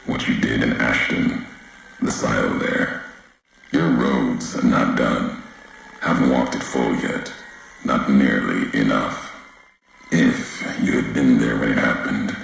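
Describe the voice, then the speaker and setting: An actor playing a grim, weary wasteland courier in a video game, speaking in solemn, cryptic, clipped phrases with a gravelly voice. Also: male voice, very deep voice, very echoey, a little hiss or hum, full character voice, low-quality recording. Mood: brooding, accusing. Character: grim, weary, brooding male wasteland courier, philosophical and menacing. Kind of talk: scripted video game character voice lines.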